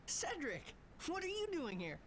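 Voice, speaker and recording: Expressive, wonderstruck boy hero. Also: high-pitched, a little slow, slight background noise, heavily acted. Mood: surprised. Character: wonderstruck, earnest young teenage boy hero. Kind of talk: video game cutscene narration and dialogue.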